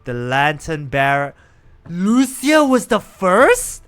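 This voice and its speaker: A man streaming a story game, thinking aloud and reacting with excitement. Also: sits high, slow pace, soft background music. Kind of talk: casual gameplay commentary.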